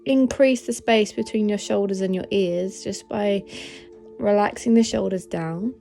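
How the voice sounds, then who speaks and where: Female meditation host: relaxed, dark-soft timbre, very unhurried, soothing guidance over faint music. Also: mid-range voice, vocal fry. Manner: gentle, soothing, unhurried instruction. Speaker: meditation guide, podcast host. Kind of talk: guided meditation.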